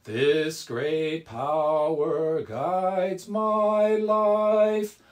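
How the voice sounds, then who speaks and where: A person leading a Buddhist service, reciting and chanting devotional verses in a measured, solemn, sing-song way. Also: medium pitch, fairly even intonation.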